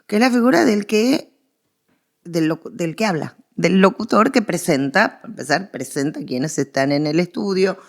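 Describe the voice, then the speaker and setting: A woman's voice: fairly low pitch, expressive, moderate pace, gravelly. An Argentine woman speaking as a guest expert in a conversational interview, explaining history with emphatic, repeated phrasing.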